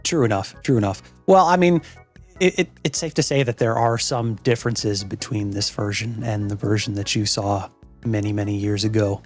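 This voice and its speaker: A man hosting a reaction show in casual chat, often halting and repeating words as he explains. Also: on the low side, soft background music.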